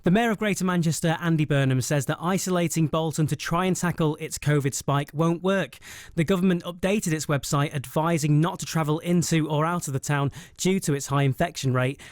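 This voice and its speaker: A man's voice: fairly high pitch, a little fast, no room sound, clean studio sound. A British man reading a scripted radio news bulletin as the presenter.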